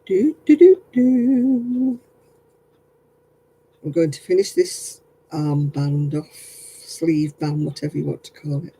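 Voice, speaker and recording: A man's voice: on the high side, slow, vocal fry, pauses often, dark tone, husky, low-quality recording. A man chatting casually with viewers while crocheting on a livestream, self-mocking and laughing often. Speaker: craft livestream host.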